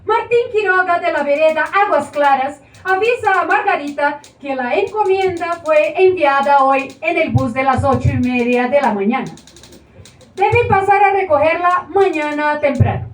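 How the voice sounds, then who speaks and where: An actress playing a radio show presenter on stage, with a brisk announcer manner and wry asides. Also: female voice, high-pitched, natural voice. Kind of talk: stage acting of a radio broadcast. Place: small theater stage. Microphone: far camera. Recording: echoey room from far off, faint noise.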